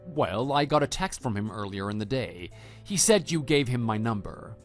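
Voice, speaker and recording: A man playing a calm, matter-of-fact husband and former teacher in a scripted online radio drama. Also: mid-range voice, natural voice. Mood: calm, reassuring. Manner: measured, matter-of-fact, quietly dry. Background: background music.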